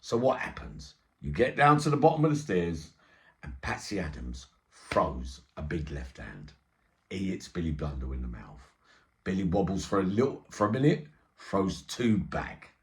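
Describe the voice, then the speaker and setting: A man's voice: deep voice, big pitch swings, normal speed. A middle-aged man telling an eyewitness story informally, in streetwise slang with frequent check-in tags. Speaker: storyteller and channel host.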